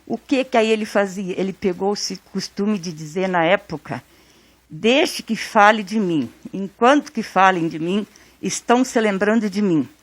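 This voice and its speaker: Uma mulher do sul do Brasil relembrando o irmão numa entrevista, falando com calma e carinho. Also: female voice, mid-range voice, normal speed, no echo. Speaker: interviewee, sister. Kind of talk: interview recollection.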